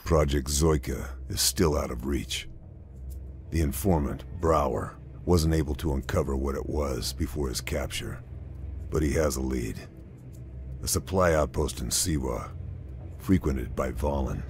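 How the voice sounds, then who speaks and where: A man playing a grim, calculating lone army sniper who narrates his mission in a measured, restrained way. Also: a bass voice, darkish tone, slight background noise. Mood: grim, focused. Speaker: protagonist narrator. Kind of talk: scripted mission briefing narration. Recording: music behind the voice.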